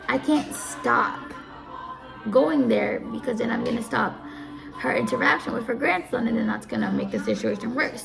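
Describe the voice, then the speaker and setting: A young woman in her early twenties talks through advice in a loose, rambling way. Her voice sits a little high, with a heavy gravelly rasp. She drifts off track and circles back to the same point. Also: rough recording. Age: early 20s. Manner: rambling, easily distracted, repeats herself. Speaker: audio live streamer. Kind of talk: casual advice talk.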